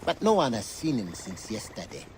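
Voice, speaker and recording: An actor playing a flamboyant, flirtatious employer in a video game, coy and teasing with drawn-out, theatrical delivery. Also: male voice, on the low side, very animated, a little mellow, slight background noise. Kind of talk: dramatic video game acting.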